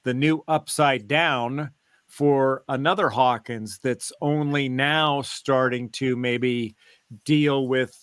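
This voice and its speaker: Guy on a podcast talking slow and halting, working thru his theory, real dry sound. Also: mid-range voice, steady tone, a bit bright, smooth, no echo. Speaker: podcast co-host. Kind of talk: casual fan discussion, recounting and theorizing.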